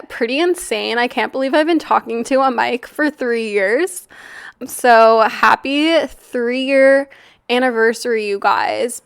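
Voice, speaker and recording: A Canadian woman in her twenties hosting a casual solo podcast, her voice raspy and a bit nasal from a flu cough, chatty and candid with casual swearing. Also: on the high side. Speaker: podcast host.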